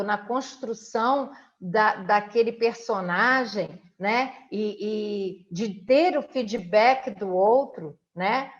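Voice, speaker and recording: A Brazilian woman interviewing in a conversational, reflective way, measured and often adding short tag questions. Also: medium pitch. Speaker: interviewer, theatre director. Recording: dull, lo-fi audio.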